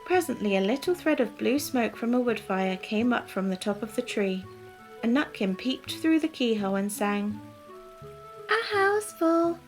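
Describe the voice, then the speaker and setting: A woman narrating a children's storybook in a soft, gentle, calm way, as scripted bedtime reading. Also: medium pitch, normal speed, soft background music.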